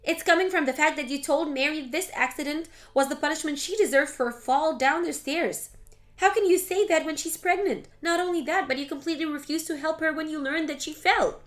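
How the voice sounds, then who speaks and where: A woman voicing an outspoken adult daughter who talks in a sharp, exasperated way. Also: high-pitched, a little fast. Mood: angry.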